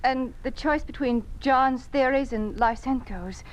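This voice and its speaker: An actress playing a devoted, anxious wife, pressing and emotional, in a 1950s radio drama. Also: female voice, sits high, unhurried, rough recording.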